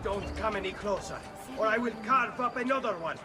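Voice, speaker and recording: Actor as a desperate high-pitched killer. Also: male voice, brisk, music in the background, close to an everyday voice. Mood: panicked menace. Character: desperate, menacing male killer cornered by guards. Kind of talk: video game cutscene acting.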